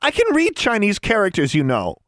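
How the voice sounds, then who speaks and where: A man's voice: very high voice, huge pitch swings, a little slow, fairly bright tone, dry recording, clean studio sound, pitched above the performer's own voice, full character voice. A man voicing a frightened, defensive young schoolgirl in an audiobook story, with stammering, squealing, tearful delivery. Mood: indignant.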